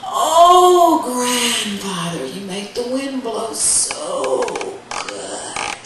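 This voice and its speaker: A woman's voice: a little high, very animated, very slow, heavily acted. A woman voicing a mischievous little boy in a folktale, whiny and wheedling in a sing-song, exaggerated way. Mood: sly, flattering. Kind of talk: oral folktale storytelling. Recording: echoey room, distant mic, faint noise.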